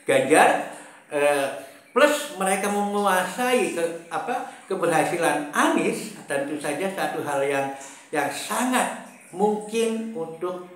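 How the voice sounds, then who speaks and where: A man from Central Java giving a casual political monologue, pausing often with fillers and repeating himself, with a chuckle now and then. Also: high-pitched, lively intonation, fairly bright tone, heavy reverb. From Brebes, Central Java. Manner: slow, hesitant, many fillers and repetitions, chuckles often. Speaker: political commentator.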